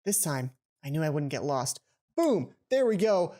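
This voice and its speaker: A man's voice: on the high side, sing-song, moderate pace, mellow. A young man hosting a let's play, reading a visual novel aloud and adding casual commentary. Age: young adult. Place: small indoor home room. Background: game music.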